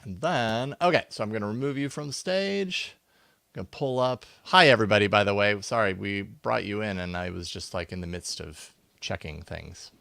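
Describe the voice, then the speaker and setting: A man's voice: lowish voice, moderate pace, vocal fry, a bit bright, no echo. A man hosting a live show in a casual, informal, conversational way. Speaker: podcast host.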